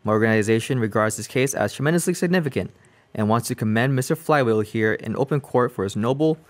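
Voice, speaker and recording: A man's voice: mid-range voice, natural voice. An earnest district attorney, played by a young man with a mid-range voice. He speaks formally and stumbles over a word now and then in a comic radio play. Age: young adult. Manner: formal, halting speech.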